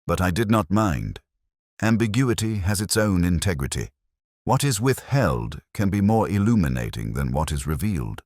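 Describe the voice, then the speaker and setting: A man reading a scripted first-person detective story in a measured, brooding, literary manner. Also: sits low, sing-song, a little mellow, no room sound, clean studio sound.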